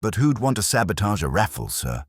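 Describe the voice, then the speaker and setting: An eager police sergeant, played by a man, earnest, puzzled and deferential to his superior. The voice is low and husky with lively inflection, in radio mystery style. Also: fairly quick, dry recording, studio quality.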